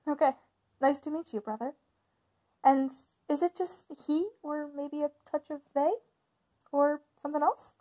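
A woman acts out a protective big sister from a witches' coven in an audio roleplay. Her voice sits high. She speaks informally and a little haltingly, always caring and reassuring toward her younger sibling. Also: steady tone, mellow. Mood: supportive. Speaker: voice actor in ASMR roleplay. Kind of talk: ASMR roleplay, conversational acting.

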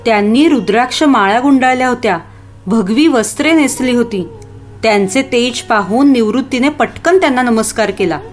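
Female storyteller for children's animated tales, fairly high voice, expressive narration over light music. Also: moderate pace.

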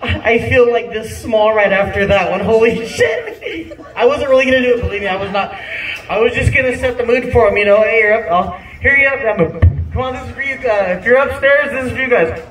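A man's voice: very high voice, animated, brisk, a bit bright. A man in his thirties doing rambling, self-deprecating stand-up at an open mic, laughing at his own jokes, on a handheld mic. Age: 30s. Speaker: stand-up comic. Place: small bar-like comedy venue indoors. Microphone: handheld mic, phone filming from the audience. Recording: roomy venue sound from a distant phone.

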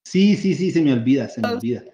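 A man leading a casual mapping workshop over a video call, chatty and quick to laugh, often repeating his words. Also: medium pitch, animated, dark tone. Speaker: workshop presenter sharing his screen. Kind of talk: casual live screen-share demo. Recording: echoey room, slightly dull audio.

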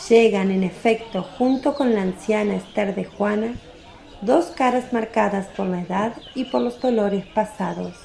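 A woman narrating a religious radio drama from a script, with a solemn, deliberate literary delivery. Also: medium pitch, creaky, dark tone, roomy sound.

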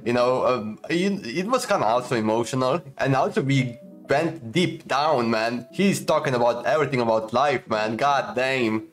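A man in his twenties giving casual, slangy, exclamation-filled reactions as a video host, recorded close up in a room at home. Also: mid-range voice. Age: 20s. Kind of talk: casual excited reaction commentary. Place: home gaming room, indoors.

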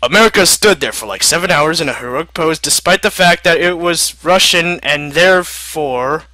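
Animated man with a high, bright voice. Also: moderate pace, no room sound. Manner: animated, dramatic. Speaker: story narrator. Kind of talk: comic scripted story narration.

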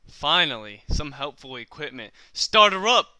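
A man's voice: fairly high pitch, big pitch swings, normal speed, sharp tone. A young man performing short amateur script takes, bluntly swearing and restarting when he fumbles. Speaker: amateur voice actor.

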